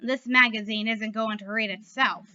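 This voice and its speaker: A woman playing a curt, high-voiced receptionist lady. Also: fairly even intonation, normal speed, sharp tone, highs cut off. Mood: dismissive. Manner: curt, dismissive. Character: brusque, gossipy female retirement home receptionist.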